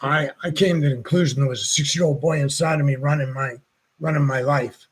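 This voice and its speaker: Older male host, 60s, husky and slightly high voice, rambling halting storyteller. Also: steady tone. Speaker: show host. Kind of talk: casual chat.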